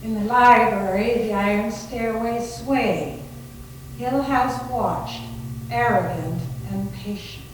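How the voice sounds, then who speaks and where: A woman's voice: fairly high pitch, slow. A woman narrating a scripted story for a live dramatic reading. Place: large library room, live staged reading. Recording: very echoey, distant, noisy room recording.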